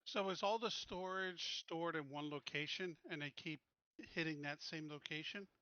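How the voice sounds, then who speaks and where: A man's voice: on the high side, moderate pace, bright, forceful tone, dry recording, lo-fi sound. A man talking casually as a player in a tabletop roleplaying game.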